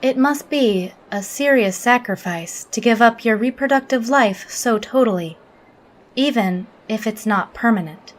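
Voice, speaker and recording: A woman playing a logical alien officer in an audio drama, interviewing in a formal, measured, reserved manner. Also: on the high side, close to an everyday voice. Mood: coolly probing.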